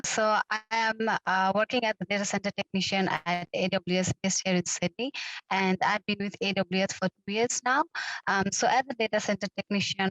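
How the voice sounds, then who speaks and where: A young woman talks through her work on a video call, halting often with fillers. Her voice stays flat and level in pitch, and the sound is dry and close. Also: no echo, poor audio. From Nepal. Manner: hesitant, many fillers. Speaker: data center technician. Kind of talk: webinar presentation.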